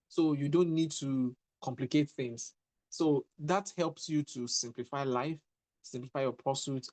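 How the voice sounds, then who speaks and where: A man's voice: mid-range voice, a little mellow. A man leading an online mentorship session, teaching in an emphatic, motivational way and often repeating his points. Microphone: online call audio.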